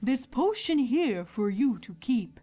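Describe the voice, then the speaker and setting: A woman voicing a calm, wise female zebra herbalist who speaks in measured rhyme in a cartoon fan fiction audio drama. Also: on the high side, heavily acted. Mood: soothing. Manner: measured, speaks in rhyming couplets. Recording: music behind the voice.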